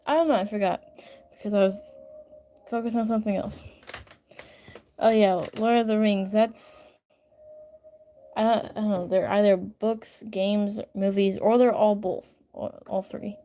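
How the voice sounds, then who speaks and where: A woman's voice: medium pitch, little pitch movement, measured pace, long pauses, warm tone, a little hiss or hum. Giggly rambling young woman streamer. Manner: rambling, giggly, easily sidetracked while reading comments. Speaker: livestreaming artist. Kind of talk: casual livestream chat. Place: home bedroom upstairs.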